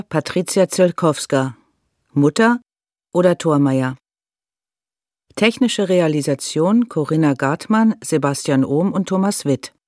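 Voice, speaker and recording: A woman's voice: fairly low pitch, a little mellow, no echo, clean studio sound. A woman announcer reading radio drama credits in a neutral, precise, formal manner.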